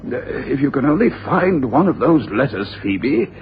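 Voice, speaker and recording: An actor playing an anxious, conciliatory middle-aged gentleman in a radio drama, hesitant and repeating himself. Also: medium pitch, big pitch swings, moderate pace. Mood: urgent, reasoning. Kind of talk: 1980s radio drama acting. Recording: dull old radio broadcast, faint noise.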